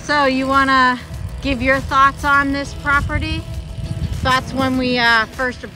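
The higher-pitched woman showing viewers around her land on a homemade outdoor vlog, music playing along. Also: flat delivery, slow pace. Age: middle-aged. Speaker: vlog host. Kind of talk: casual chat to camera. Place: outdoors in a pine clearing. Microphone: handheld phone at arm's length.

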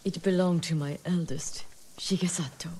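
A woman's voice: fairly low pitch, steady tone, moderate pace, dark tone, close to an everyday voice. A woman playing a grieving, vengeful older noblewoman warrior in a video game, stern and formal. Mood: guarded, grave. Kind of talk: dramatic video game acting.

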